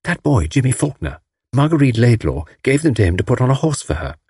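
A man voicing a lively, cheeky young woman who teases playfully. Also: medium pitch, brisk, breathy edge, dry recording, clean studio sound. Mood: teasing. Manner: bright, playful, teasing.

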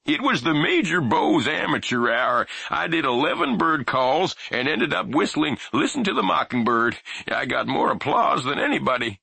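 A man's voice: on the high side, big pitch swings, normal speed, vocal fry, hardly any pauses, sharp tone, husky, no room sound, voice pushed up, full character voice. A man voicing a rambling, boastful old grandfather in a storybook reading. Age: over 60.

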